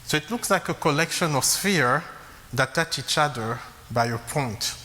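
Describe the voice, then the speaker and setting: A man who grew up in Congo giving a math lecture, halting with frequent fillers and restarts. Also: on the high side, lively intonation, pushed and bright. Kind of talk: academic lecture at a blackboard. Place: lecture hall. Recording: long reverb, faint hiss.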